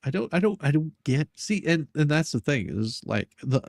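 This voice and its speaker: A man's voice: fairly high pitch, very animated, normal speed, vocal fry, no echo, clean studio sound. A man chatting casually as a livestream co-host, mocking and sarcastic, repeating words as he searches for the next one.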